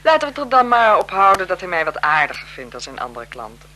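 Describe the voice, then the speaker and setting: Haughty, secretly seductive noblewoman, poised and evasive, lively intonation, vintage radio drama with noisy sound. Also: lowish voice, fast talker. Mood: cool, evasive. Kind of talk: 1970s Dutch radio drama acting.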